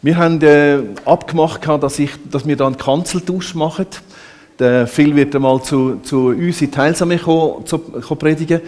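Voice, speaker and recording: A man with a Swiss German accent, a Salvation Army officer being interviewed during a church service, explaining in a relaxed, slightly rambling way. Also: a little high, normal speed. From Norway and Switzerland.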